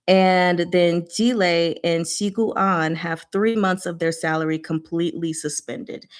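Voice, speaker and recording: Low-pitched woman, chatty and scattered. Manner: scattered, self-interrupting, talks to herself and audience; casual, slangy, blunt. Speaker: host of a book discussion session. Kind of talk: informal live book discussion, recapping plot.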